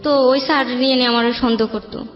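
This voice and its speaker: A Bangladeshi woman recounts her own life as a guest in an interview. Her voice sits a little high and she speaks colloquially. The recording is echoey and dull, with music under it. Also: fairly even intonation, quick pace. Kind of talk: personal life storytelling, conversational. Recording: echoey room, dull sound, faint music.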